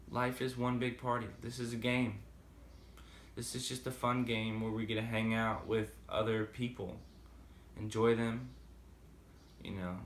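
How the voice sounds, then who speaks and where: A man's voice: mid-range voice, echoey room, slight background noise. A man with a slight Boston accent talking casually and rambling with many fillers in a motivational vlog. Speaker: vlogger. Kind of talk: casual motivational talk to camera.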